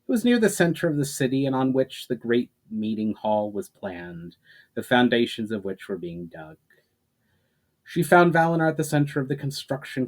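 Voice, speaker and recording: A man's voice: on the low side, a little mellow. A man speaking as an author who presents and reads his own fantasy novel aloud in a storytelling style. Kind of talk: dramatic reading of a fantasy novel, with informal introduction.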